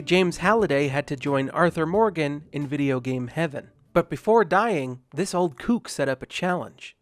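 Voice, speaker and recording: A man hosting a video game channel, narrating a comedic scripted movie review with sarcastic, self-deprecating jokes. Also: fairly high pitch.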